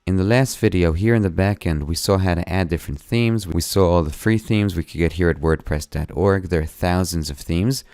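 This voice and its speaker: Hoarse low-voiced man giving a tutorial. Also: a little mellow, dry recording. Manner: plain step-by-step explaining, friendly and unhurried. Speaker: web design tutor. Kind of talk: screen-recorded tutorial narration.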